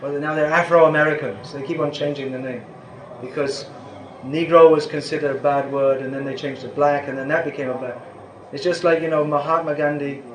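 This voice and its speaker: Older male teacher fielding questions, loose and digressive, fairly high pitch, roomy echo. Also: steady tone, a little fast, few pauses. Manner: rambling, self-interrupting, chuckles at times. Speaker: spiritual teacher answering questions. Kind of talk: informal question-and-answer talk. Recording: echoey room with faint background noise.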